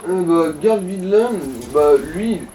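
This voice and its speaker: Man, fairly high mellow voice, casual game advice, roomy sound. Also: slow. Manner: explanatory, advising. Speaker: co-commentator advising the player. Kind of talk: casual chat over gameplay. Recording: echoey room, game music behind.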